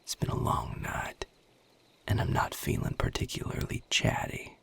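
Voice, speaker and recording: A man voicing a guarded, gruff vampire with a Southern US accent in an audio roleplay, husky and breathy, drawling with sardonic, curt authority. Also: moderate pace, a bit bright, in a whisper, natural voice. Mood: weary, curt. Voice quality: gravelly, husky, breathy low voice. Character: guarded, gruff Southern male vampire defending his territory. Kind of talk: audio roleplay addressed to the listener.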